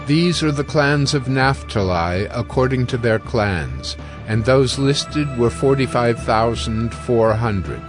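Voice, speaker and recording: A man narrating scripture from a script in a solemn, formal and measured way. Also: mid-range voice, sing-song, music in the background.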